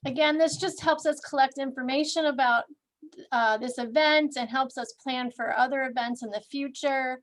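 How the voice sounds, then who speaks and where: A woman's voice: sits high, steady tone, normal speed. A tired woman co-hosting an online event, making informal announcements to the participants. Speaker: event organizer, co-host. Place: online video call.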